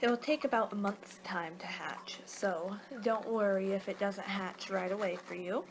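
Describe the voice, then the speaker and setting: Female ASMR performer as a laid-back vampire guide: mid-pitched, soft to whispery, rambling delivery, noticeably noisy recording. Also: fairly even intonation. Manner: rambling, halting, losing her train of thought. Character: young-for-her-kind female vampire guiding a client, casual, a little exasperated. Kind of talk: soft-spoken ASMR roleplay, partly whispered.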